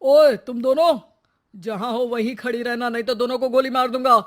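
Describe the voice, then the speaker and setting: A woman's voice: fairly high pitch, fairly even intonation, normal speed, background noise, natural voice. A woman voicing a bullying male thief. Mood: threatening. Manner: taunting, mocking, then whimpering in pain. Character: brash male house thief with a gun. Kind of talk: cartoon voice acting.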